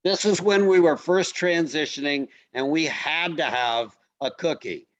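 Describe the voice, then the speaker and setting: A man presenting food photos in a casual online class, relaxed and halting with many fillers. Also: fairly high pitch, dry recording, dull audio. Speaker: host presenting slides.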